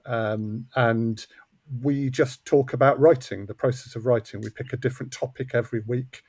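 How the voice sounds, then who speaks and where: A man's voice: mid-range voice, fairly even intonation, normal speed, a little mellow, husky, no echo. A man speaking casually as an interview guest on a podcast. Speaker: guest, audio drama co-creator.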